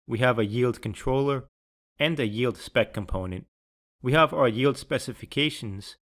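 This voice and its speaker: A man with a mid-pitched voice narrates a software tutorial from a script. His delivery is measured and instructional, and he enunciates technical phrases with care.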